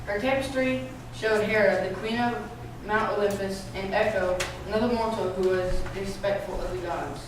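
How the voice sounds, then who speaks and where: Female narrator, scripted storytelling, mid-pitched; distant mic with clear background noise. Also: steady tone, normal speed. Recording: echoey room, distant mic, noisy.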